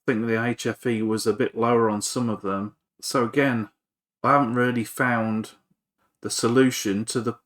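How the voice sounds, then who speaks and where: A man's voice: mid-range voice, flat delivery, moderate pace, a bit bright. A man giving an informal, hesitant, rambling commentary on a repair at his workbench, with a self-effacing manner. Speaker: hobbyist electronics repairer and video presenter.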